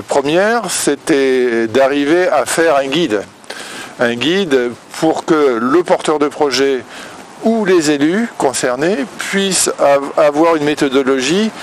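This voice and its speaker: A man speaking as a local elected official in an interview, halting and searching for his words. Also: a little high, slight background noise, rough recording. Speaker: local elected official, vice-president of a rural district council. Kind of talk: spoken interview testimony.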